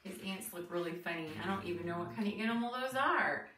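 A middle-aged woman giving an expressive read-aloud lesson for young children, deliberate and sing-song, putting questions to them. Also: medium pitch. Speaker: teacher reading a picture book aloud. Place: small indoor room with decorated wall, seated on floor. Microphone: camera a few feet away. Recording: roomy, distant mic, light background noise.

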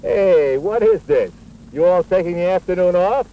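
A man's voice: high-pitched, animated, normal speed, highs cut off. A man playing a patient, plain-spoken middle-aged school coach in a 1950s educational film, explaining things earnestly. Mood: brisk, friendly. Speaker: coach and narrator. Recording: echoey, noisy 1950s film soundtrack.

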